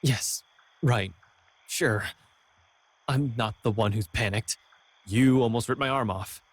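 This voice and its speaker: A man's voice: medium pitch, takes it slowly, slight background noise, lightly acted. An actor playing a rattled, defensive young man in a horror visual novel, muttering and indignant. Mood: indignant, sarcastic. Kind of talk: voiced visual novel dialogue.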